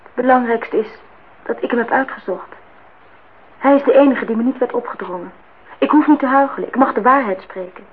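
A woman's voice: fairly high pitch, a little fast, natural voice. A woman acting in a radio play as a defiant, idealistic young student, earnest and outspoken. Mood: earnest, tender. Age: young adult. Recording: dull, noisy old radio recording.

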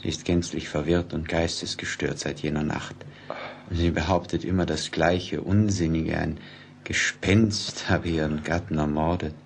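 An actor in a German radio play, playing a grave, measured man who soberly reports on a mysterious death. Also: very deep voice, fairly even intonation, gravelly, exaggerated voice. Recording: noisy old radio play recording.

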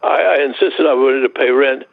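A man's voice: medium pitch, normal speed, gravelly, no echo. A man casually recalling memories as an interviewee, in plain conversational English. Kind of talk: casual recollection. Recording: dull, band-limited, dry interview recording.

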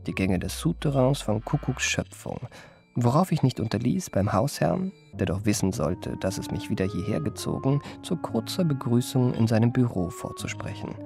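A man's voice: mid-range voice, creaky, darkish tone. An actor playing a refined, self-regarding adventurer who recounts his memoirs in polished, ornate phrasing for a radio drama. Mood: composed, precise. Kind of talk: literary radio drama narration.

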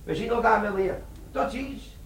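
An actor playing a cheeky, scheming older army sergeant in a comic radio play, with a gravelly, colloquial delivery. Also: male voice, high voice, normal speed, close to an everyday voice. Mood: cocky, eager. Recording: noisy old radio drama recording.

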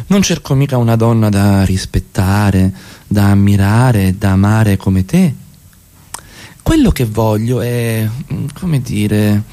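A man acting in a radio play as a suave, evasive middle-class husband who needles with halting, repeated, pointed questions. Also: fairly low pitch, mellow, exaggerated voice.

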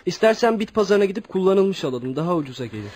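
Quick-talking, earnest teenage boy as acted. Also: medium pitch, big pitch swings, darkish tone. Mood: worried. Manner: respectful, earnest. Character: polite, earnest teenage son of poor widow. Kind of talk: Turkish radio theatre acting.

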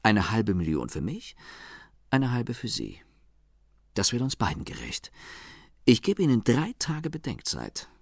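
A suave gentleman thief in a radio play. He speaks low and close in a dry sound with a creaky edge, self-assured and taunting. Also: dry recording, heavily acted. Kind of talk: radio drama acting.

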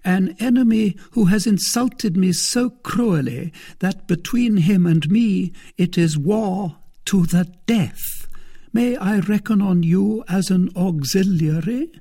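A man voicing a proud, seductive and vindictive noblewoman in an audiobook of a classic novel, speaking in a deliberate, theatrical way. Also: high-pitched, mellow, pitched above the performer's own voice, exaggerated voice. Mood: grave, resolute.

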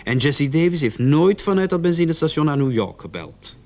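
An actor playing a sarcastic, distrustful police interrogator in a radio drama, talking down to the person questioned with mocking condescension. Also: male voice, a little high, very animated, fairly quick, a little mellow. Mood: stern, condescending.

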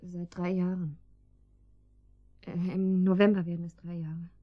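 A woman's voice: fairly low pitch, level tone, measured pace, no room sound, muffled recording. A woman playing an anxious captive wife in a German radio drama, pleading hesitantly with her guards. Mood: subdued. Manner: hesitant, pleading, breaks off sentences.

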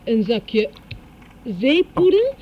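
A woman voicing a stiff mechanical shopping robot that recites haltingly in a children's audio comedy. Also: high-pitched, big pitch swings, highs cut off, background noise, exaggerated voice.

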